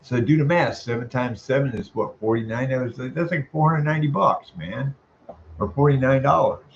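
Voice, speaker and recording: An older man with a mid-pitched voice is airing a complaint in informal speech. He sounds aggrieved and emphatic, rambling and circling back over his words. The sound is dull and lo-fi, with faint noise behind him. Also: lively intonation, moderate pace. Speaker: caller, tenant making a complaint. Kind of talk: informal phone complaint, venting.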